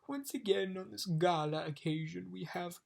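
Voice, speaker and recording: A woman's voice: fairly low pitch, moderate pace, gravelly, darkish tone. A woman voicing a weary, formal storybook king who is wistful and easily outraged. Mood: commanding. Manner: formal, proclaiming.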